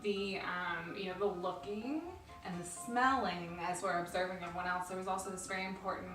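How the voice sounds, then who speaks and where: A woman's voice: on the low side, fairly even intonation, fairly bright tone, soft background music. Woman, non-native English speaker, casual vlogger, echoey room, distant mic. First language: not English. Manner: chatty, playful, adds quick asides. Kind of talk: casual conversation vlog.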